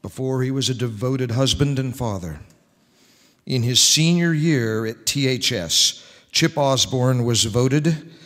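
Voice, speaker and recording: A man's voice: mid-range voice, fairly bright tone. Man reading radio scripts in a reverberant hall. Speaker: radio show host. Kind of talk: scripted narration, reading old-time radio ads and introductions. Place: large indoor hall, on stage. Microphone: microphone on a stand. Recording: echoey hall with long reverb.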